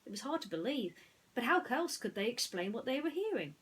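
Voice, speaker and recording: A woman narrating a bedtime story in an expressive, deliberate way, with chatty asides. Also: fairly high pitch, breathy edge.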